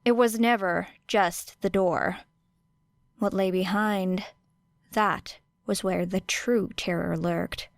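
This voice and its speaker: Woman narrator for a podfic, even mid pitch, dry close sound. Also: gravelly, no echo. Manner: measured, expressive storytelling. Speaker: podfic reader. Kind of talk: audiobook narration of fan fiction.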